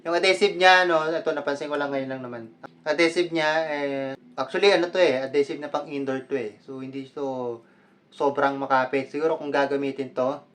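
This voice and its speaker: A Filipino man with a mid-pitched voice talks as a vlogger showing off a product. His delivery is casual and conversational. He slips between Tagalog and English as he explains things. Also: steady tone, brisk, fairly bright tone. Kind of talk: casual product unboxing vlog.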